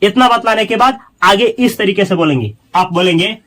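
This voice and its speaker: A man teaching a spoken English lesson, explaining in Hindi and reading English sample sentences slowly and deliberately. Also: sits high, a bit bright.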